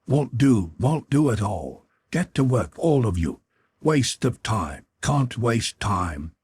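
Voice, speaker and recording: A man voicing a cool, authoritative expedition leader in an audiobook, measured and matter-of-fact. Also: mid-range voice, very animated, darkish tone, slightly rough, exaggerated voice. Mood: brisk, commanding. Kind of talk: audiobook character voice.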